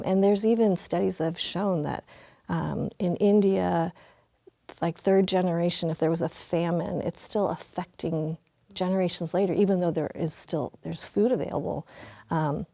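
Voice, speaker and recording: A woman sharing reflections on a panel discussion, speaking in halting restarts. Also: on the low side, creaky, mellow, no echo, clean studio sound. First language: Mandarin.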